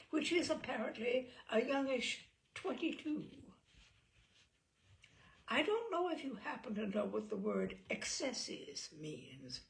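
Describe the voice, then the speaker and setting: Creaky, very animated woman reading comic fiction. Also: fairly high pitch. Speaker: story reader. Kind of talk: expressive narration of a comic short story.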